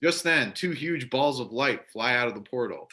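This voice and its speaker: Male narrator for amateur play stage directions, clear-cut bright tone, medium pitch. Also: expressive, normal speed. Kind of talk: scripted narration, amateur readers theater.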